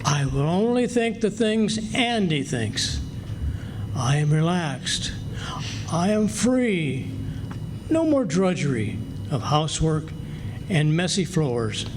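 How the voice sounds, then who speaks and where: Man as excitable boastful husband, high voice, very lively intonation, grumbling, radio comedy, noisy recording. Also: slow pace, pauses often. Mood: dreamy, hypnotized. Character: blustering, exasperated, gullible husband. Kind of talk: old-time radio comedy acting. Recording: noisy live stage recording.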